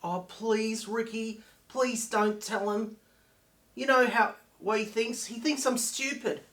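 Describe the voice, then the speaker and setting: A man playing an anxious young person in a dramatic monologue, pleading quietly to prove himself. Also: high-pitched, steady tone, slow. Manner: pleading, subdued. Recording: echoey room.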